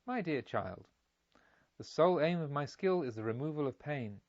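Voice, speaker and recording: A man voicing a learned, sensible storybook physician who gives instructions in a measured, plain way. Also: fairly high pitch, expressive, a little mellow, dry recording, highs cut off. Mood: calm, instructive.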